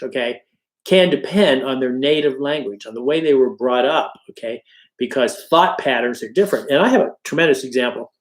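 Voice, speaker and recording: Middle-aged male instructor, casual lecture delivery, constant check-ins with the class, echoey room. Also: medium pitch. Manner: conversational, frequent "okay?" and "all right?" check-ins. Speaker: college instructor. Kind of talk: informal recorded lecture.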